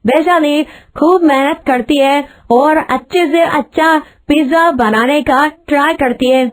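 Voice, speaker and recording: A female narrator telling a scripted story. Her voice is clearly high in pitch, and she delivers the lines as storytelling narration. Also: unhurried.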